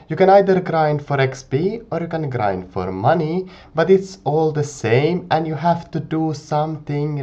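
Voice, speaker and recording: Brief: man with a cold, earnest gaming commentator, lively delivery. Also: a little high. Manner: earnest, explanatory, animated rise and fall. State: has a cold. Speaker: gaming video creator. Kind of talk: casual commentary to camera. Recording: dull lo-fi mic recording.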